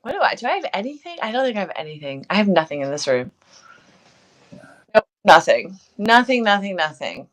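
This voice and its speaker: A woman's voice: mid-range voice, very animated, a little fast, pauses often. A middle-aged woman co-hosting a casual, unscripted livestream, chatty and jokey, often repeating her phrases. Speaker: co-host of a vintage seller livestream.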